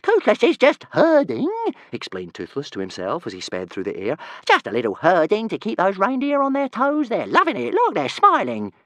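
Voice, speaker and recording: A man voicing a small, boastful, stuttering male dragon with a high, squeaky voice. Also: huge pitch swings, moderate pace, a bit bright, no room sound, exaggerated voice. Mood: gleeful. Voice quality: stammering, squeaky.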